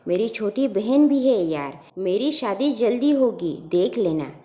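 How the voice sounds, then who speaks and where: A woman's voice: medium pitch, lively intonation, a little slow, mellow, natural voice. A woman voicing a practical, teasing young woman in love in an audio story, informal and protesting. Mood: worried, frank. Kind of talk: acted storytelling dialogue.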